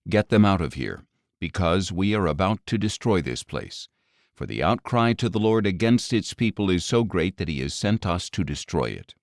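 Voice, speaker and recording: A man's voice: deep voice, big pitch swings, moderate pace, no room sound, studio quality. A man voicing a firm, urgent angel who brings divine judgment, in an audio Bible story. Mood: grave warning.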